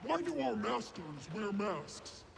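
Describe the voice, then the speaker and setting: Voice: medium pitch, sing-song, slow pace, gravelly, background noise, exaggerated voice. An actor voicing a rigid, obedient battle droid in a video game, clipped and emotionless, through a robot filter. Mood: stern, robotic. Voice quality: electronic, grating.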